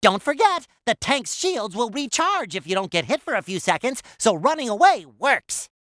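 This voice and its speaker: A man voicing an excitable, childlike, cheery cartoon sea sponge for a video game, in a squeaky, nasal, exclamatory delivery. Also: normal speed, full character voice. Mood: cheerful narrating. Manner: exuberant, exclamatory, playfully earnest.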